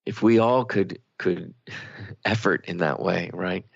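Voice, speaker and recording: Low, gravelly male radio co-host. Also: slow, no echo. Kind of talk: reflective conversational radio talk. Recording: slightly muffled, lo-fi radio audio.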